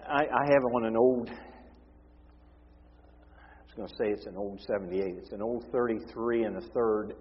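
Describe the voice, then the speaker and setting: A hoarse man preaching a sermon as pastor, impassioned, moving between forceful emphasis and hushed tones. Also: lowish voice, moderate pace, pauses often. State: hoarse, losing his voice. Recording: reverberant hall, dull lo-fi sound.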